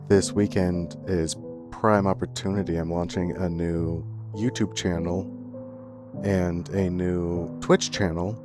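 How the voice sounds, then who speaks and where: A young man with a deep, low voice speaks straight to his audience, earnest and reflective. He pauses and restarts as he works through his thoughts. Soft music plays faintly under his voice. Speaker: content creator. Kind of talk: reflective storytime monologue.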